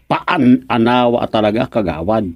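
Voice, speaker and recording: A complaining village colleague in an Ilocano radio comedy. The delivery swoops in pitch with frequent exclamations and an Ilocano accent, recorded dry and close. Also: animated, unhurried, no echo.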